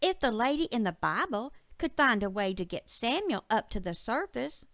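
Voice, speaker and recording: Woman as an earnest little country girl, high-pitched, swooping. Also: big pitch swings, normal speed, a bit bright, dry recording, clean studio sound. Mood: pleading. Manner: childlike, breathless rambling with "like" and "see".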